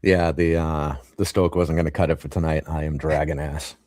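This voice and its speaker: Very deep-voiced laid-back man. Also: flat delivery, darkish tone, dry recording, clean studio sound. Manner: laid-back, agreeable, quick to laugh. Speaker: podcast host. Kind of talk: casual interview chat.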